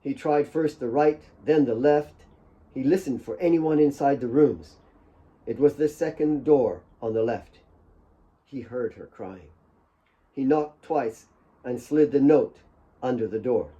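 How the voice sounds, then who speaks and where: A man reading a novel as dramatic audiobook narration, with measured, deliberate phrasing and dramatic pauses. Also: mid-range voice, warm tone, low-quality recording. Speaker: author reading own novel.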